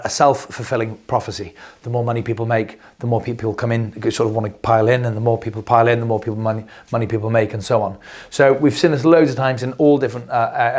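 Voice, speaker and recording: Guy losing his voice, rambles on about markets, lots of uhs, mid pitch. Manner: rambling, repeats words, many fillers. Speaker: crypto video host. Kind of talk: unscripted explainer monologue.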